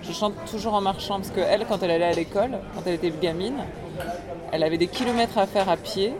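A woman's voice: mid-range voice, quick pace, a crowd in the background. A woman musician and radio host giving a casual interview, thinking aloud with many fillers and restarts. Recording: noisy street recording.

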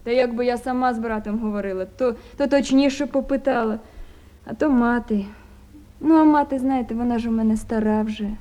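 Актриса в роли растерянной украинской селянки, высокий мягкий голос. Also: female voice, fairly high pitch, normal speed, warm tone, natural voice. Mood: plaintive, apologetic. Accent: Ukrainian. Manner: rambling, repetitive, plaintive. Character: poor abandoned village mother, simple, anxious, talkative. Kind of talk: naturalistic 1970s radio drama acting.

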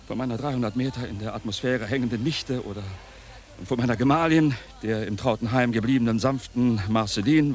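A man's voice: mid-range voice, natural voice. A man playing a theatrical, exasperated uncle in a radio drama, declamatory and dry by turns. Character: theatrical, exasperated uncle saddled with his niece.

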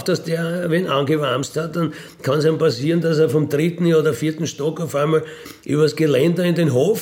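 A man with a gravelly voice, recounting in a blunt, matter-of-fact, colloquial way with emphatic tag questions. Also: fairly high pitch, darkish tone. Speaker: ex-convict telling his experiences. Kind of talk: colloquial spoken monologue, recounting.

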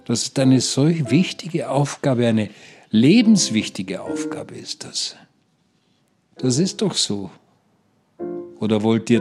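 An actor playing a stubborn, chatty retired husband who talks in a rambling, dryly persuasive, colloquial way. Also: male voice, medium pitch. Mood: earnest, persuading. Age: older. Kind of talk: satirical radio drama monologue, conversational.

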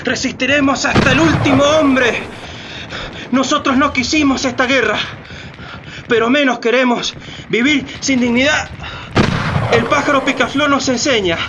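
Defiant, high-voiced resistance fighter. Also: female voice, steady tone, unhurried, pauses often. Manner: impassioned, declamatory. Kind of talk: radio drama acting. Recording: loud gunfire noise behind.

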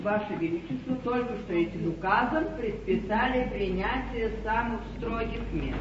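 An actress playing an imperious English duchess in a 1950s stage comedy, with cool, authoritative, measured delivery. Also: female voice, medium pitch, lightly acted. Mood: stern. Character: imperious, commanding English duchess at the royal court. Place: theatre stage. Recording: distant dull 1950s theatre recording, echoey, hiss.

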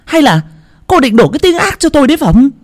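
A man voicing a domineering older mother-in-law who scolds in shrill, rapid tirades. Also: sing-song. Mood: shrieking scold.

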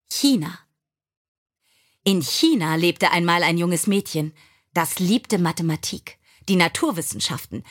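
A woman reading scripted biographical stories for children as an audiobook narrator, measured and clearly articulated. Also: mid-range voice.

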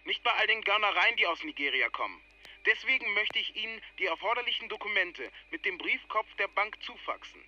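An actor playing a self-assured, formal and insistent Nigerian scam official in a radio play, speaking over the telephone. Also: male voice, sits high, lively intonation, fairly quick, pushed and bright, exaggerated voice. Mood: official, persuasive. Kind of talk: radio play acting, formal phone talk. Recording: dull, noisy telephone line.

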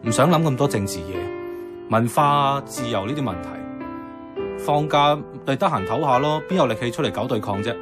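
A man's voice: medium pitch, expressive, brisk, close to an everyday voice. A man playing a sceptical, argumentative ordinary working man in a radio drama, with a Hong Kong accent. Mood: dismissive. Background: music.

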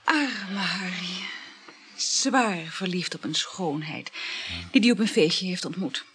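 An actress in a radio play voicing a dreamy, flirtatious married woman, speaking in a breathy, languid, halting murmur. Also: lowish voice, animated, a bit bright, slight background noise. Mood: wistful. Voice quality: breathy, husky. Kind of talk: intimate radio play acting.

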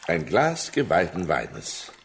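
An actor in a German radio play as a courteous, sly prince with a suave, formal courtly manner. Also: male voice, low voice, wildly swooping pitch, takes it slowly, gravelly, heavily acted. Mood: placating, festive.

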